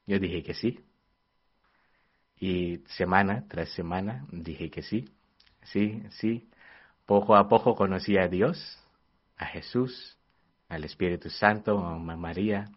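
Young filipino guy, low voice, earnest and a bit halting, second-language spanish, kinda muffled recording. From Philippines. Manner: earnest, halting, searching for words. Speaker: missionary guest. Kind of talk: personal testimony in an interview. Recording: dull lo-fi sound.

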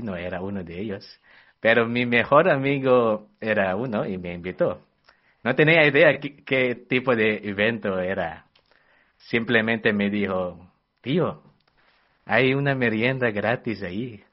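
Young filipino guy, low voice, earnest and a bit halting, second-language spanish, kinda muffled recording. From Philippines. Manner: earnest, halting, searching for words. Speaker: missionary guest. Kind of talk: personal testimony in an interview. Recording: dull lo-fi sound.

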